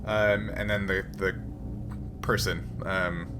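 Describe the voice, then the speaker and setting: A man explaining options as game master of a tabletop role-playing game, in a halting way. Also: deep voice, flat delivery, music in the background. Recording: noisy recording with room ambience.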